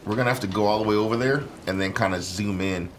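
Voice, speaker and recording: A father chatting calmly while playing an online game with his kids, directing the team. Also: on the low side, fast talker, slight background noise. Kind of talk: casual game chat.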